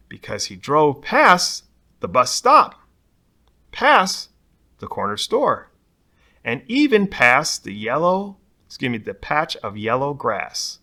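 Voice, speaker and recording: A man's voice: medium pitch, sharp tone. A man reading a children's picture book aloud expressively, putting dramatic emphasis on key words. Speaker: storybook reader.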